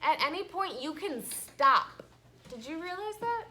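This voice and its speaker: Animated, bright-voiced woman teacher reading aloud. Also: extremely high pitch, unhurried, slight background noise. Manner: animated, conversational, checking in with questions. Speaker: elementary school teacher. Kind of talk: children's story read-aloud with class discussion. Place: classroom with children.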